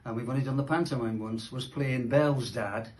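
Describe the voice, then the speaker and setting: A man's voice: mid-range voice, brisk, a little mellow, slight background noise. A man who performs in amateur pantomime, talking casually and a little hesitantly as he recalls his roles. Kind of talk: casual spoken recollection to camera.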